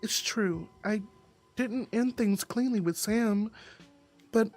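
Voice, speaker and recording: A streamer reading a visual novel aloud, with expressive narration and sassy, slangy asides. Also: medium pitch, slow, soft background music.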